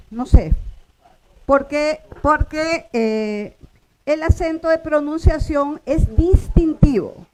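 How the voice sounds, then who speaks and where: A woman giving a linguistics workshop lecture, explaining patiently and often checking in with her listeners, sounding out example words slowly. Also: sits high, darkish tone, poor audio. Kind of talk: classroom lecture.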